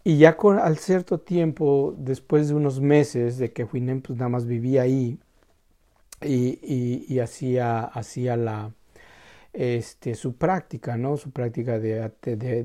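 Mellow-voiced man, halting storyteller. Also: mid-range voice. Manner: halting, many restarts and tag questions. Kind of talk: casual storytelling talk.

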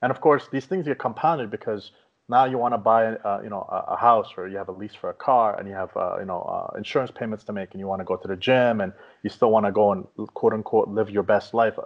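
A slightly muffled, lo-fi recording of a man hosting a podcast. His voice sits a little low and he talks quickly, laying out his points in a long, emphatic, conversational way. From Afghanistan. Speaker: podcast host. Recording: dull lo-fi audio.

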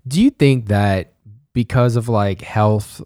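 A man's voice: lowish voice, a little mellow, no room sound. Rambling man in his thirties, dry sound. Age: 30s. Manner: rambling, halting, many fillers. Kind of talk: casual chat.

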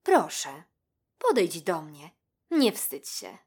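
Woman voicing a polite, lively spider schoolteacher. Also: sits low, slow, breathy edge, heavily acted. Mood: polite, instructive. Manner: polite, firm, encouraging. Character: kindly bespectacled female spider schoolteacher. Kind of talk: children's storybook character voice.

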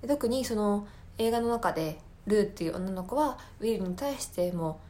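Female podcast host, mid-pitched, for thoughtful personal monologue; earnest delivery with hesitant searching for the right word. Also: expressive, moderate pace, mellow. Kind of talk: reflective solo talk, personal film commentary.

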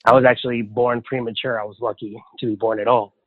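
A man's voice: mid-range voice, level tone, fast talker, no echo. A man from Hawaii, a podcast guest, telling his life story in a candid, plainspoken way. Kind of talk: casual interview storytelling. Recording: tinny, dry phone-like line.

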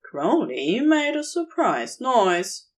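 A woman's voice: a little high, sing-song, takes it slowly. A woman narrating romantic fiction from a script as a storyteller.

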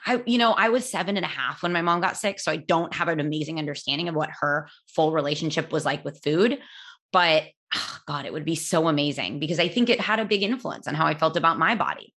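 A young adult woman with a loud voice, telling a personal story in a candid, reflective way with many fillers and restarts. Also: low voice, brisk.

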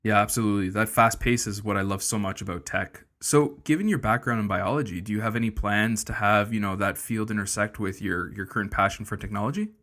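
A man's voice: on the low side, fairly quick, hardly any pauses, fairly bright tone. A man co-hosting a podcast, reading the intro and asking upbeat, encouraging questions. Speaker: podcast co-host. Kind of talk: scripted intro and interview questions.

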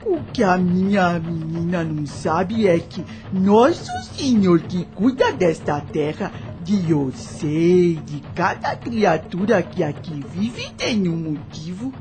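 An actress playing a wise, devout old enslaved nanny in a radio drama, gentle and motherly, with a husky voice and rustic, unschooled speech. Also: fairly low pitch, lively intonation, takes it slowly, hardly any pauses, over music. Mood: reassuring. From taken by force from her homeland. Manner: rustic uneducated grammar, patient moral teaching.

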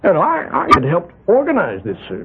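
A man's voice: high voice, wildly swooping pitch, a little fast, heavy background noise. An actor playing a wealthy older island patriarch in a 1970s radio drama, rambling and stammering through his excuses. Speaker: island community leader. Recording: tinny lo-fi 1970s radio broadcast.

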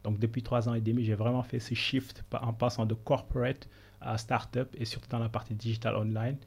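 A man's voice: medium pitch, little pitch movement, fairly quick, vocal fry, a little mellow, slightly rough. A man in his thirties from Senegal, a podcast guest telling his career story in an earnest, motivational way. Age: 30s. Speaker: podcast guest, business consultant. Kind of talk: conversational interview.

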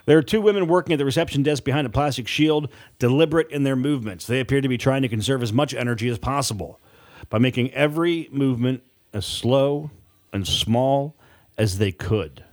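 A man's voice: mid-range voice, fairly quick, a little mellow. Man narrating an audiobook.

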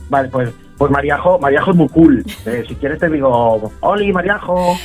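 A man's voice: fairly high pitch, expressive, moderate pace, soft background music. A young man from Madrid, a nervous new radio intern who stammers and keeps apologizing. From Madrid, Spain.

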